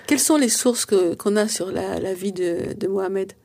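A woman's voice: medium pitch, moderate pace, darkish tone. A woman interviewer putting questions in a conversational way.

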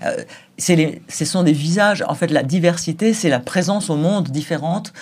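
A man speaking as an interview guest, emphatic and forceful, with short laughs between points. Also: a little high, moderate pace. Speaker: guest, school figure.

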